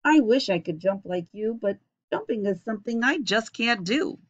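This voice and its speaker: A woman's voice: mid-range voice, lively intonation, moderate pace, lightly acted. A woman voicing a friendly, wistful young male platypus in a simple, plaintive storybook manner. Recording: dull lo-fi webcam recording.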